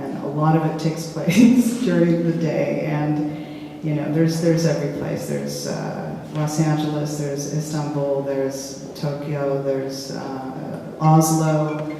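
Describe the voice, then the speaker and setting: Halting woman in an echoey hall. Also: low-pitched, dark tone. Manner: halting, searching for words, frequent restarts. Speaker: filmmaker in a post-screening discussion. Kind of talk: casual public Q&A talk. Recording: echoey hall from far off, faint hiss.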